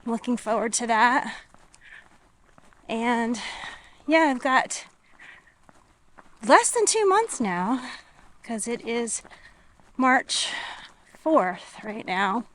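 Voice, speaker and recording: Slow, musing woman vlogging outdoors. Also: on the high side, sing-song, a bit bright. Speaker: hiking vlogger. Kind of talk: casual vlog chat to viewers. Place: outdoors, on a walk and at a tent setup. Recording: noisy outdoor camera recording with natural ambience.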